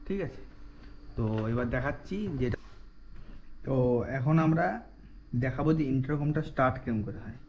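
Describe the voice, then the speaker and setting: A man's voice: mid-range voice, moderate pace, long pauses. A man giving a casual, step-by-step product demonstration in an explanatory way, often checking in with a short "okay". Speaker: product reviewer. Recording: dull lo-fi sound with faint noise.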